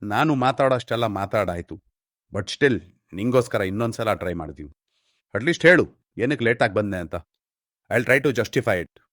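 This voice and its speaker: A man's voice: lowish voice, animated, moderate pace, pauses often, fairly bright tone, dry recording, clean studio sound. Man acting as stern, scolding Indian office boss. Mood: dismissive, exasperated. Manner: berating, commanding. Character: stern, scolding male office manager, cold and exasperated. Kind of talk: short-film drama dialogue, code-mixed.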